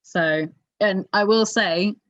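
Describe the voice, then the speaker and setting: A woman's voice: mid-range voice, slow, a bit bright. A woman chatting casually about motorsport as a podcast co-host, giving her opinions emphatically. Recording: echoey room, dull lo-fi mic.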